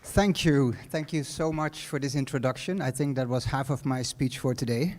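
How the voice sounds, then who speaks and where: A man's voice: mid-range voice, normal speed, darkish tone. A middle-aged man with a Dutch accent giving a casual, rambling graduation speech in a large hall, full of fillers and restarts. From Netherlands. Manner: conversational, many fillers and restarts. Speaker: guest speaker.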